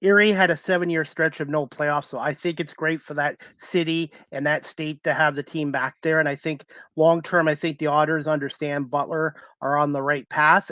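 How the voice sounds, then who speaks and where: A man's voice: on the high side, fairly bright tone, no echo. A man hosting a sports talk radio show, introducing and chatting with his guest.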